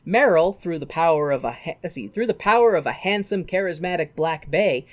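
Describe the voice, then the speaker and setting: A woman's voice: fairly low pitch, lively intonation, brisk. A woman co-hosting a podcast, recapping a story in a casual, humorous and theatrical way. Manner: theatrical, playful. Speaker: podcast co-host.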